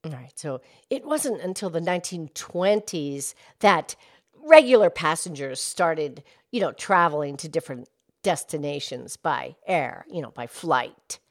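Woman, podcast co-host, measured, matter-of-fact, explanatory talk. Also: deep voice, lively intonation.

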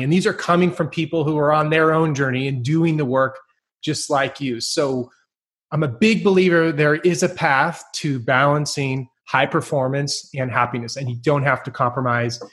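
A man hosting a podcast, talking in an enthusiastic, energetic way and laughing easily as he introduces his guest. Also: fairly high pitch. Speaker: podcast host. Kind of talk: upbeat podcast interview.

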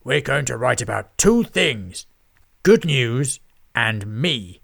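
A man voicing an enormous, greedy lord who runs a newspaper, booming and barking orders at his staff in a storybook reading. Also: vocal fry, a bit bright, dry recording, studio quality, exaggerated voice.

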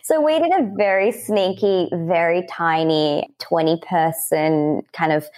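Young Australian woman, lower register, upbeat and chatty interview guest who laughs easily. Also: measured pace, darkish tone. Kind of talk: casual podcast interview.

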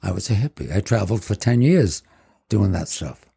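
Older man in his 70s, guest storyteller, gravelly husky voice, rambling. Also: on the low side, lively intonation, moderate pace, warm tone. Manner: rambling, leans on "basically". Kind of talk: casual storytelling.

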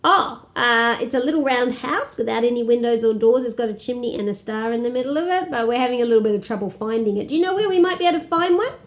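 A woman voicing two polite, timid children in a storybook tale, hesitant and excited by turns. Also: fairly high pitch, fast talker, few pauses, close to an everyday voice.

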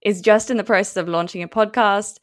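A woman's voice: mid-range voice, fairly even intonation, fairly quick. A woman hosting a podcast, asking questions in a friendly, encouraging way. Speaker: podcast host. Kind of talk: casual interview conversation.

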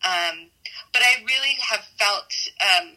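A woman's voice: medium pitch, slow, sharp tone, rough recording. A woman, giving casual, reflective interview answers in a conversational way. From Southern California, United States. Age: 40s. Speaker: interviewee, math teacher.

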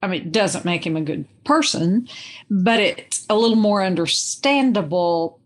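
A woman's voice: fairly low pitch, expressive, moderate pace. A woman guest talking casually and analytically as a reader, musing and asking questions as she goes. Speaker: guest giving a reader's view. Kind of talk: casual, analytical book discussion.

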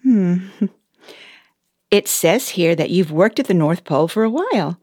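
An actress playing a cheery, brisk, officious female elf who runs personnel at the North Pole, in an audio play. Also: on the low side, no echo, studio quality. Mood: chatty, amused. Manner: chatty, expressive, officious.

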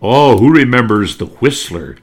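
Slow-talking, animated man narrating. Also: mid-range voice. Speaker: narrator reminiscing about old-time radio. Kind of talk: nostalgic narration with catchphrase impressions.

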